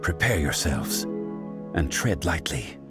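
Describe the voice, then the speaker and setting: An actor playing a composed, dignified gentleman in a video game, speaking formally with measured authority. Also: extremely low pitch, sing-song, heavily acted. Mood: grave, commanding. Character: composed, dignified, commanding gentleman scholar of ancient wisdom. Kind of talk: video game character acting. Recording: game audio with music behind.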